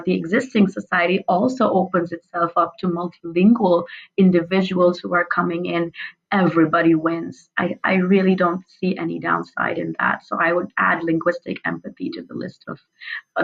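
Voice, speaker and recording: A woman's voice: lowish voice, gravelly. Reflective woman on slightly muffled audio. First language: Urdu. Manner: reflective, speaks in long flowing sentences. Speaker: author guest. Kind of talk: conversational interview.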